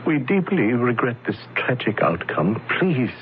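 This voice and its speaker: An actor playing a calm, solemn male alien envoy in a radio drama, speaking slowly and formally. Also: medium pitch, a little hiss or hum. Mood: regretful. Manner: slow, measured, formal. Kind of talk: 1970s radio drama acting. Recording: dull lo-fi old radio broadcast.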